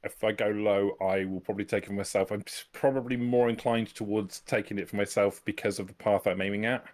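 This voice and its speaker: Male tabletop player, fairly deep voice, casual and tentative, reasons through his choices aloud. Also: fairly even intonation, fast talker, darkish tone. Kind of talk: casual game-table chat.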